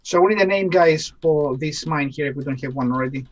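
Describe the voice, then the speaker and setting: Husky Greek-accented man explaining casually. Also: fairly high pitch, brisk, muffled recording. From Greece. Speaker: co-host explaining worldbuilding. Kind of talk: casual explanatory livestream chat.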